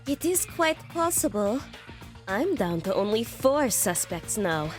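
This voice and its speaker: A woman's voice: fairly high pitch, very animated, measured pace, soft background music. A woman playing a composed, analytical young student profiler in an audio drama, formal and precise.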